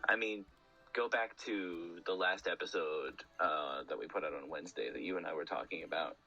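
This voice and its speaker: A man with a low voice and a bright, forceful edge hosts a casual chat. He talks in an analytical, conversational way, with soft game music in the background. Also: moderate pace, lo-fi sound. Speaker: podcast host. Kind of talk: casual chat about cartoons.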